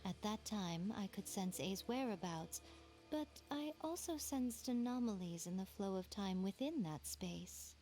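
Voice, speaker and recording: A woman's voice: medium pitch, lively intonation, a little slow, dark tone, faint music behind, lightly acted. A woman voicing a sly, teasing shrine priestess in a video game, speaking in a wry, playful way.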